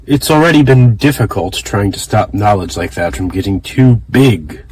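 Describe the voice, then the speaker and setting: A man playing a weary, earnest father who speaks haltingly to his child in a fan audio drama. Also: lowish voice, lively intonation, darkish tone, breathy edge. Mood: guilty, halting.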